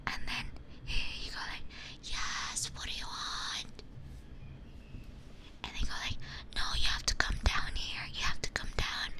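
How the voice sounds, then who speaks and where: A woman streamer chatting casually in a breathy ASMR whisper into a close binaural microphone, telling stories in a candid, gossipy way. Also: unhurried, pauses often. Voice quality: breathy, mostly unvoiced whisper.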